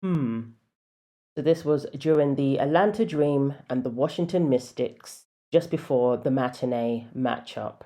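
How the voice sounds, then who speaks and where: Low-voiced woman giving opinionated commentary. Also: a little slow, mellow. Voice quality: deep voice. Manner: opinionated, wry asides. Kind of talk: casual commentary vlog.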